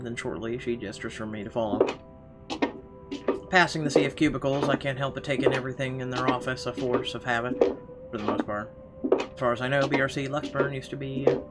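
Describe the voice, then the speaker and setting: A man narrating a visual novel as a let's player, reading aloud a little haltingly with casual asides. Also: mid-range voice. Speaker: gamer reading the story aloud. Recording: game music clearly behind the voice.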